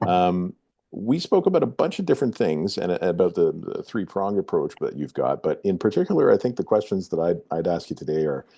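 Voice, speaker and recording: A man's voice: low voice, very animated, fairly quick, vocal fry, warm tone, husky. A man hosting a webinar, introducing each guest with a playful game-show flourish. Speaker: webinar host. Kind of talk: moderating a webinar.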